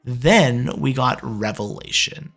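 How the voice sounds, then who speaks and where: A man's voice: medium pitch, takes it slowly, creaky, a bit bright, soft background music. A man giving opinionated, emphatic review commentary as a video host.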